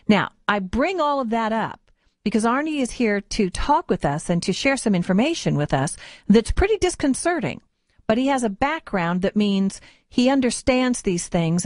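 A woman's voice: medium pitch, a little mellow, no room sound, clean studio sound. A woman hosting a radio interview, introducing a guest and asking questions.

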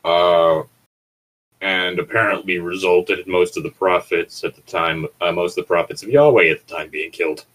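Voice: deep voice, fairly even intonation, moderate pace, a bit bright, rough recording. A person chatting casually and bantering with friends as co-host of a fan fiction reading.

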